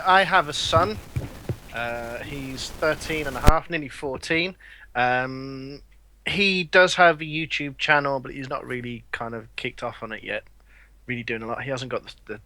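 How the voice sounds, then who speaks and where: Joking man, bright voice. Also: medium pitch. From England. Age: 30s. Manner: joking, playful. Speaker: podcast co-host. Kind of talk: casual chat.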